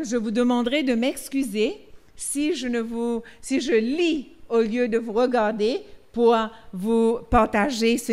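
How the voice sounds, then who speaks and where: A middle-aged woman from Mauritius, slowly reading a sermon aloud, with hesitations and restarts. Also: a little high. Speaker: preacher. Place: church.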